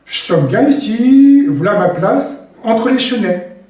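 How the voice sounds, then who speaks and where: A man with a hoarse voice voicing a cold-stricken cat in a spoken folk tale. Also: extremely high pitch, expressive, slow, darkish tone, pitched above the performer's own voice, exaggerated voice. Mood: settling in. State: hoarse, stuffed-up voice. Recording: very echoey hall from far off.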